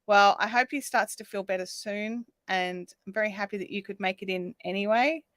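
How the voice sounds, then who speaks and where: A woman hosting a craft livestream, chatting casually and reading out viewer comments while she crochets, her voice slightly raspy. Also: medium pitch, brisk, fairly bright tone, no echo, clean studio sound. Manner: chatty, reads viewer comments aloud, counts stitches under her breath. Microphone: desk microphone.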